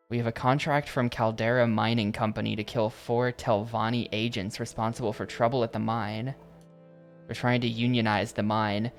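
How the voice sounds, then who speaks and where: A man streaming a video game, chatting casually and rambling between reading game text aloud. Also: mid-range voice. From United States. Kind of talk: casual gameplay commentary.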